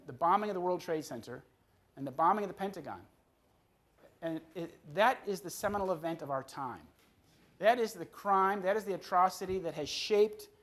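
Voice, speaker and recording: A man's voice: sits high, fairly bright tone. A man in his fifties from the Chicago area, an author giving a public talk to an audience, often repeating words and restarting his phrases. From Chicago area, USA. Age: 50s. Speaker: author on a book tour.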